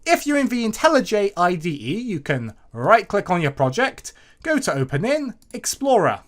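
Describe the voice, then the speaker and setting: A woman presenting a step-by-step coding tutorial in a clear, instructional way. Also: mid-range voice.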